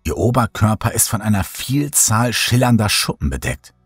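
A man reading a first-person horror story in a slow, hushed, brooding way. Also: fairly low pitch, animated, husky, dry recording, clean studio sound, soft background music. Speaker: audiobook narrator.

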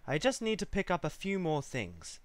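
A man's voice: on the high side, huge pitch swings, normal speed, dry recording, pitched above the performer's own voice. A man voicing an easygoing, amused pony father with a mild, teasing manner in a story reading. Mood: placating.

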